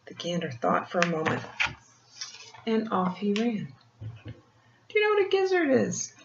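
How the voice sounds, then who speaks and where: An older woman reads a picture book aloud like a grandmother, pausing for gentle explanations and questions to the child. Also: medium pitch, wildly swooping pitch, creaky. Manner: gentle teacherly asides, asking the child questions. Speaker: grandmother storyteller reading to children. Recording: muffled, roomy home recording with faint noise.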